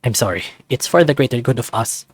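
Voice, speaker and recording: A man voicing a soft-spoken, placating male creature scientist in a visual novel, in a low voice. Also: lively intonation, fast talker, husky, dry recording. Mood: placating, earnest.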